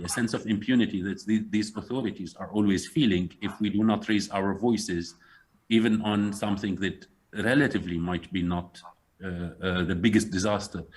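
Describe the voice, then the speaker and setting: An earnest man speaks in a measured way, often pausing to find his words. His voice sits fairly low and has a slight husky grain. He sounds like a guest in a panel conversation. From Syria.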